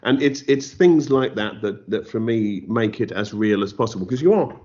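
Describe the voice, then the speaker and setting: A man's voice: on the low side, animated, moderate pace, a little mellow. A man with an English accent, a novelist being interviewed, who talks in a thoughtful, self-deprecating way with many restarts. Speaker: novelist guest. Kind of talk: interview conversation. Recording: slightly dull lo-fi audio.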